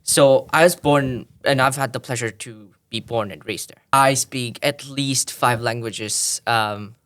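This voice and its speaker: A man's voice: mid-range voice, unhurried, a bit bright. A man from Tanzania, a podcast guest, explaining at length in a thoughtful way with frequent restarts. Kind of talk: explanatory conversational interview.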